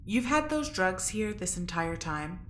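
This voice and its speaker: An actress playing an anxious, sharp-tongued young woman in a sci-fi audio drama, speaking bluntly and informally. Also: on the low side, sing-song, measured pace. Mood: accusing. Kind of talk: audio drama acting, naturalistic dialogue. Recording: roomy echo, faint background noise.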